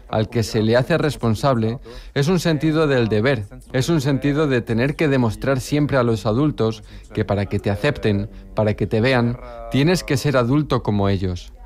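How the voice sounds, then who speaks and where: A man's voice: mid-range voice, moderate pace, darkish tone. A man giving a translated voice-over of an interview, in the role of interpreter.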